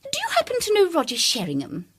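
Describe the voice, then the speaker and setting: A woman's voice: very high-pitched, huge pitch swings, quick pace, a little hiss or hum, full character voice. An actress playing an eager, effusive society woman with a British accent in a period mystery drama, chatty and gushing.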